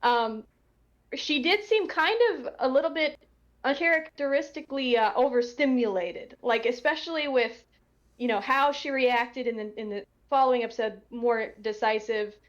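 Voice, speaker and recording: A woman's voice: a little high, moderate pace. Hesitant woman, slightly dull lo-fi audio. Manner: hesitant, tentative, trailing off into asides. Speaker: audio drama cast or crew member giving commentary. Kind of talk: casual panel commentary.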